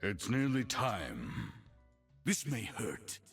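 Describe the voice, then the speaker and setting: Slow, gravelly mad scientist villain. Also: male voice, lowish voice, sing-song, fairly bright tone, faint music behind, exaggerated voice. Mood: sly menace. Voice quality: raspy, gravelly. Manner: slow, theatrical delivery. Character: villainous mad scientist chemist, sinister and sly.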